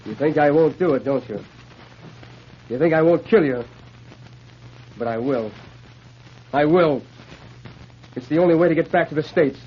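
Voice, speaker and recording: An anxious, desperate fugitive, played by a male actor, with halting, agitated speech full of feeling. The old radio drama recording is muffled and slightly noisy. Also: fairly high pitch, natural voice. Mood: desperate, threatening.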